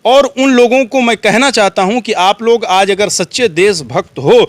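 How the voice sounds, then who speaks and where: A man's voice: sits high, normal speed, no room sound. An Indian man giving earnest political opinions in an interview, speaking emphatically and oratorically, repeating phrases for emphasis. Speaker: street interviewee.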